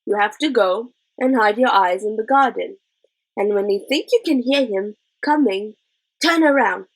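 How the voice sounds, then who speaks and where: A woman voicing a quick-witted, cheeky boy in a storybook, brisk and chivvying. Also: medium pitch, expressive, poor audio. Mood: coaxing.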